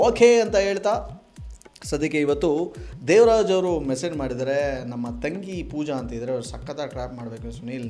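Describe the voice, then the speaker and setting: A man's voice: mid-range voice, a little mellow. A man with a southern Karnataka accent hosting comic prank calls, teasing and argumentative in a mock-scolding way. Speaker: radio prank call host. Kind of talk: comic prank phone call. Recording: music bed behind the voice.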